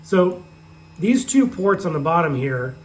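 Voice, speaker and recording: A man's voice: fairly high pitch, unhurried. Sick-sounding man in a workshop. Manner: steady, explains step by step. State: sick, nearly lost voice, sore throat. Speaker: shop owner showing parts. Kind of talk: casual product update. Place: workshop with a 3D printer running. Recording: faint printer hum behind. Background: faint printer noise.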